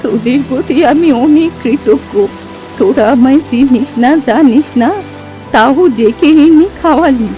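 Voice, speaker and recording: Woman voicing lonely old village woman, very high voice, wistful, grateful, motherly, cartoon folk tale, faint music behind. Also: measured pace, warm tone, low-quality recording.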